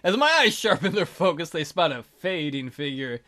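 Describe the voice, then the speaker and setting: A man's voice: on the high side, lively intonation, moderate pace, sharp tone. A man hosting a let's play, reading a visual novel aloud theatrically with goofy, crude joking commentary.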